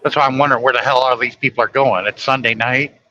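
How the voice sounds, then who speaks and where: A man's voice: medium pitch, steady tone, fast talker, pushed and bright, highs cut off, a little hiss or hum. A man chatting casually late at night on a live stream, blunt and griping, swearing freely.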